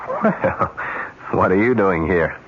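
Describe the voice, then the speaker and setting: An actor playing a shady, businesslike crook in a radio mystery drama, curt and impatient. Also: male voice, low voice, wildly swooping pitch, slow, heavily acted. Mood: irritated, wary. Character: brusque, shady, businesslike male murder broker. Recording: dull, noisy old radio broadcast.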